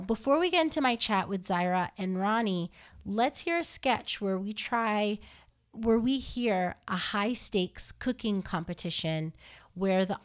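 A woman from New Jersey hosting her podcast, chatting casually with lots of ums and uhs. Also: mid-range voice. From New Jersey, USA. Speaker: podcast host.

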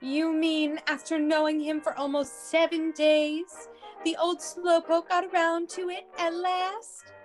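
A woman's voice: extremely high pitch, flat delivery, measured pace. An actress playing a wry, meddling former house mother in a classic radio play, arch, knowing and theatrical. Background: music behind the voice.

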